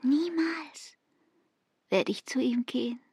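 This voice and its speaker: An actress playing a passionate young barmaid and urgent, tender lover in a radio drama, breathy and often whispering. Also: female voice, high-pitched, animated, takes it slowly, a little mellow, dry recording, clean studio sound, exaggerated voice. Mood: defiant, intimate. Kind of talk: intimate radio drama acting.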